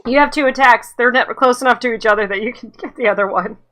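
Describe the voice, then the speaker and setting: High bright-voiced woman game master, headset. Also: fast talker. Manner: laughing often, playful. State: losing her voice from laughing. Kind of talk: narrating a tabletop game. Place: small room at home, video call.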